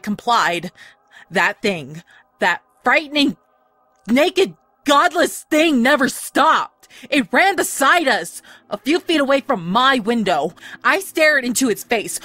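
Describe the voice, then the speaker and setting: A woman giving a dramatic, suspenseful first-person reading of a horror story, pausing deliberately for emphasis. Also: mid-range voice, fairly bright tone, dry recording. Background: background music.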